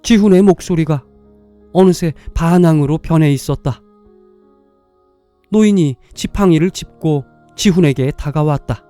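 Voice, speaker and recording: A man's voice: on the high side, a little slow, long pauses, dark tone. A man reading scripted story narration as the narrator of a drama. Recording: music behind the voice.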